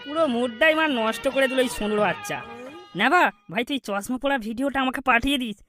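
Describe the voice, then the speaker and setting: Woman doing a high-voiced cheeky cartoon troublemaker lad. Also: fast talker, fairly bright tone, soft background music. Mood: defiant. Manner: brash, defiant. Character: cheeky, crude young village male troublemaker. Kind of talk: exaggerated cartoon dialogue.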